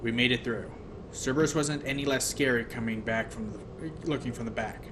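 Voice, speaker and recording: A male audiobook reader with a mid-pitched voice narrates a novel in the first person. He sometimes trips and rereads phrases, and there is noticeable noise on the recording. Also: fairly quick.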